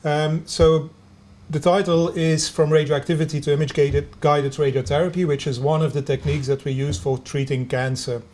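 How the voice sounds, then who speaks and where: A man's voice: a little high, little pitch movement, normal speed, a little mellow. Hesitant Belgian man lecturing. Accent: Belgian Dutch. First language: Dutch. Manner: hesitant, many fillers and restarts. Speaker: biomedical engineer giving a lecture. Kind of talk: lecture to students over video conference.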